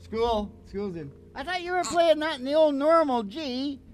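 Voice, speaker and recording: A man's voice: very high voice, lively intonation, moderate pace, a little mellow. A man hosting a musical radio show in casual, rambling banter, with a folksy, bossy, teasing way of talking. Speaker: singing radio show host. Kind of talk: casual banter over acoustic guitar. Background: acoustic guitar music.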